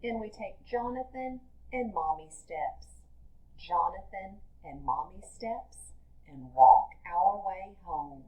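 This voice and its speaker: Female primary teacher, slightly low voice, unhurried expressive read-aloud for young children. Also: poor audio. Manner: deliberate, expressive storytelling for children. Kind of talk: picture-book read-aloud with teaching asides.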